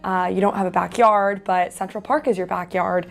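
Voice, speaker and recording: Woman from New York, journalist, brisk and matter-of-fact, music bed. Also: mid-range voice, fairly even intonation, gravelly. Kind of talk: casual personal reflection. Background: faint music.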